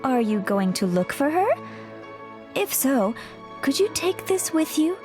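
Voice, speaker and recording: A woman's voice: sits high, very animated, measured pace, over music. A woman playing a helpful, earnest and practical young shopkeeper in a video game. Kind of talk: video game character dialogue.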